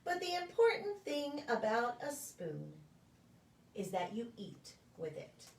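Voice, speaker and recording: A woman reads a picture book to small children in a sing-song storytelling style. Her voice sits a little high and swings widely in pitch. She is heard from a bit of a distance from the microphone, in a deliberate delivery meant for preschoolers. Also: huge pitch swings, a little hiss or hum. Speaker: pastor reading stories to preschool children. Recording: echoey room, distant microphone.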